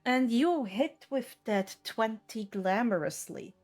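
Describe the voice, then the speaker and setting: A woman with a fairly high voice acts as game master for a tabletop roleplaying session. She takes her time, pacing the narration slowly. Faint music plays behind her. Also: animated, a little mellow.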